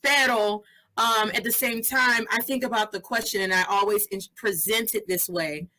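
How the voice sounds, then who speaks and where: A woman's voice: medium pitch, fairly even intonation, moderate pace, bright, forceful tone, rough recording. A woman in her thirties hosting a podcast interview, talking animatedly and mixing Spanish phrases into her English. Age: 30s. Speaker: podcast host. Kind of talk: casual interview chat.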